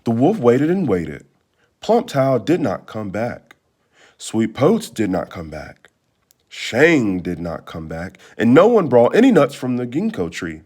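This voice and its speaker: A man reading a children's storybook aloud in expressive narration. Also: mid-range voice, measured pace, breathy edge. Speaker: storybook reader.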